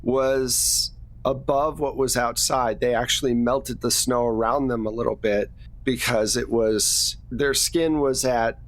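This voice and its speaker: A man's voice: mid-range voice, fairly even intonation, measured pace, lightly acted. A man playing a troubled small-town coroner in a tabletop game, recounting things in a plain, hesitant way. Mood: troubled, matter-of-fact.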